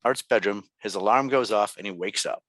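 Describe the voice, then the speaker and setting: A man's voice: on the low side, brisk, fairly bright tone, no echo, poor audio. A man narrating stage directions at a table read in a plain scripted style.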